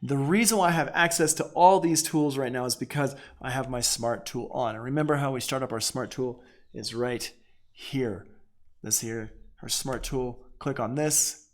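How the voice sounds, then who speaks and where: A man with a mid-pitched voice walks through a music software lesson as an instructor. He is easygoing and informal, talking his way through each step as he goes. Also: moderate pace. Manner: easygoing, informal, thinking aloud. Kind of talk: casual screen-share software tutorial.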